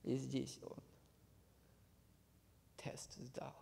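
Slow, gravelly preacher in a reverberant hall. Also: male voice, medium pitch, completely flat delivery. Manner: slow, earnest, dropping to a hush at phrase ends. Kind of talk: sermon. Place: church hall.

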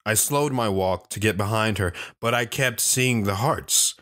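Man playing a low-voiced wistful storyteller. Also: measured pace, fairly bright tone. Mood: reflective. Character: wandering man recounting his youth, wistful and bashful. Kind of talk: first-person audio drama narration.

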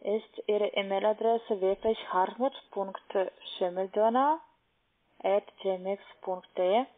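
Female call centre agent, non-native speaker, halting delivery, medium pitch, narrowband phone sound. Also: fairly even intonation. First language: not German. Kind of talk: phone sales call, step-by-step instructions. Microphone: telephone. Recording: muffled narrowband phone line.

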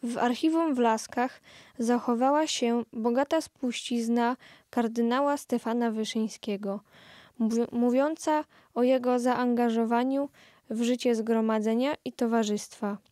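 A woman's voice: on the high side, steady tone, measured pace, dark tone, no room sound, studio quality. A young woman doing a scripted reading in an audio play. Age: young adult.

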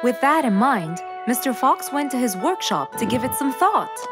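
A woman's voice: high-pitched, sing-song, normal speed. A woman narrator reading a scripted children's story. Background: music.

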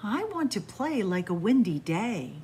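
A middle-aged woman librarian reading a picture book aloud to young children in a library, in a sing-song way with soft hushed asides. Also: mid-range voice, sing-song, a little slow, a little hiss or hum. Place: library with bookshelves, indoors.

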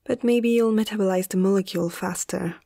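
A young woman playing a caring, slightly awestruck vet in a soft-spoken ASMR roleplay, gentle and hesitant, often slipping into a breathy half-whisper. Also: mid-range voice, brisk, dry recording, clean studio sound. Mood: calm, curious. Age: young adult.

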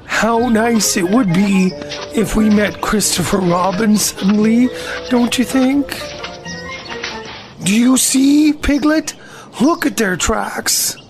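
A woman voicing a gentle, earnest, slow-witted male bear in a children's audio drama, musing aloud in a ponderous, solemn way. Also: on the high side, faint music behind. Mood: puzzled.

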